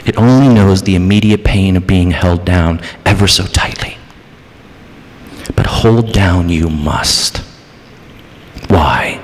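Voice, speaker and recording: A man preaching a sermon as pastor, dramatic, moving between hushed passages and raised, emphatic ones. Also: deep voice, slow, long pauses. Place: church sanctuary. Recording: church hall reverb.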